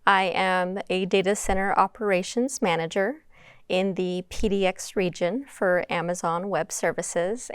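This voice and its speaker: A woman moderating a conversational stage panel, speaking in a halting way with frequent ums. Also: mid-range voice, smooth, no room sound, clean studio sound. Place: conference stage.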